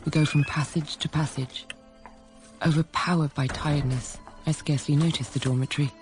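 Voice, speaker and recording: Woman acting grave introspective narrator of gothic period drama, measured short phrases, music behind. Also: sits low, steady tone, natural voice. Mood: sombre, reflective. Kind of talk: literary first-person drama narration.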